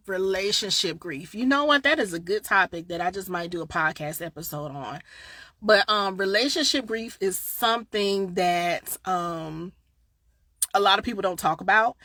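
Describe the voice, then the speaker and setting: Southern woman grief coach live-streaming, bright and dry, loud bursts then soft asides, a bit tired. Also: lowish voice, no room sound. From Southern United States. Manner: chatty and expressive, swinging from loud bursts to quiet asides. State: a little tired. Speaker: grief coach and podcast host. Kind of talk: casual live-stream chat answering viewers.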